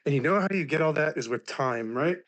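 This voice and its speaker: A man in his thirties speaks in a somewhat high voice that is raspy from laryngitis. He talks earnestly, sprinkling "like" through every sentence. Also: animated, brisk, fairly bright tone. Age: 30s. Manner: says "like" constantly, earnest. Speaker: speaker on a live stream. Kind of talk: casual heartfelt talk.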